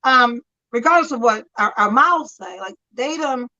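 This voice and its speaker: Woman in her fifties, chatty storyteller, higher voice, dull lo-fi call audio. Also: expressive, moderate pace, pushed and bright. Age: 50s. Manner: chatty storyteller, laughs often. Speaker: talk show co-host. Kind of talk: casual chat. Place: at a friend's house.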